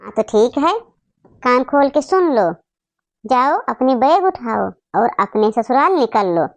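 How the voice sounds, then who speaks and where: A woman acts out an angry cartoon mother at the end of her patience. Her voice is high and dry, scolding with emphatic repetitions. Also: sing-song, a little slow, no room sound. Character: angry, fed-up mother throwing out her boastful daughter.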